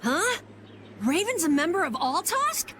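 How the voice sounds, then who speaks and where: Game role: excitable American kid boy, very high, bouncy and earnest. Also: measured pace, slight background noise, exaggerated voice. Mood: surprised, sheepish. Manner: earnest, eager. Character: eager, naive, excitable young boy adventurer. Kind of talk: video game voice acting.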